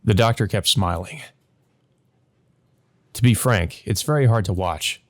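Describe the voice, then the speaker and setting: A man's voice: on the low side, expressive, unhurried. A man narrating a visual novel as a let's play host, reading aloud and adding hesitant commentary of his own.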